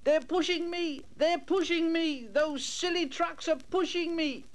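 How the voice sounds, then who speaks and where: A man voicing a frightened young male steam engine in a storybook, with shrill, strained, panicky cries. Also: a little slow. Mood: panicked. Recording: noisy old storybook LP.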